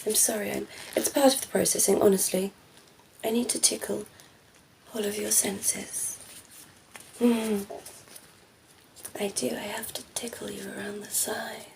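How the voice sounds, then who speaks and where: A woman playing a cheeky, flirtatious female vampire in a soft, teasing ASMR roleplay, often slipping into whispers. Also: mid-range voice, little pitch movement, measured pace, vocal fry, long pauses, a little hiss or hum, rough recording. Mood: coaxing.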